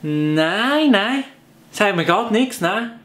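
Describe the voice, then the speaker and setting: A man's voice: high voice, animated, extremely slow, noisy background. Skeptical young man in an echoey room. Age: 20s. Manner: skeptical, teasing. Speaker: friend of the host. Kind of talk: comic sketch chat.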